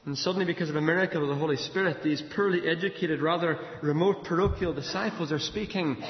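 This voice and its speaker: High-pitched Irish preacher in an echoey hall. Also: male voice, quick pace. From Ireland. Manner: dramatic storytelling with repeated words and rhetorical questions. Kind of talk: sermon, expository storytelling from a Bible passage. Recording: echoey large hall, slightly dull sound.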